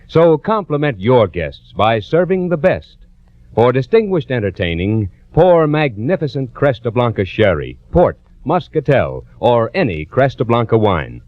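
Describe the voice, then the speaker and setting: Grand, animated vintage radio announcer man. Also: medium pitch, no room sound. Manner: formal, grand, deliberate enunciation. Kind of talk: 1940s radio advertising and programme announcing. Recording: dull vintage radio recording, faint music.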